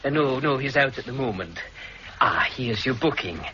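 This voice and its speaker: An obliging, fussy hotel manager, eager to carry bags and fix things. His mid-pitched voice has a bright edge. The old radio recording sounds dull, with faint hiss. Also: animated, normal speed. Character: Macaulay, eager-to-please Scottish hotel manager.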